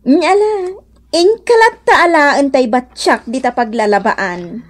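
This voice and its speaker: A woman's voice: high voice, measured pace. A woman playing a showy, well-off neighbour in a radio comedy drama, taunting in an exaggerated way, with an Ilocano accent. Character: showy, nosy, well-off female neighbour, teasing and mocking.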